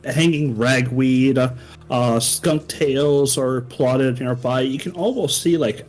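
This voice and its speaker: A game master narrating a tabletop game, relaxed and descriptive. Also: female voice, rumbling low. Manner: relaxed, descriptive, a few fillers.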